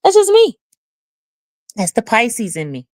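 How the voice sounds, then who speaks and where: A woman's voice: on the high side, wildly swooping pitch, a little slow, darkish tone, dry recording, clean studio sound. A woman hosting her own livestream channel, talking earnestly and from the heart to her viewers, now and then answering the chat. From Haiti. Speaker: channel host. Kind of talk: casual livestream talk to viewers.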